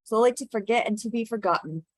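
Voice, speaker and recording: Woman playing a high-voiced, sorrowful elf queen. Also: quick pace, lightly acted. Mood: wistful, solemn. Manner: lyrical, solemn. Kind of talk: table read of a fantasy script.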